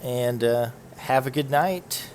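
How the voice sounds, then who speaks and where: A man's voice: mid-range voice, slow pace, slight background noise. A young man hosting a podcast in casual chat, teasing his guests and laughing easily, speaking from home on a call. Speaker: podcast host.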